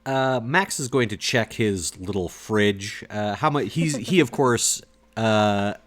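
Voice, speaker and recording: Very low-voiced man narrating his game character. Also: takes it slowly. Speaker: tabletop game player.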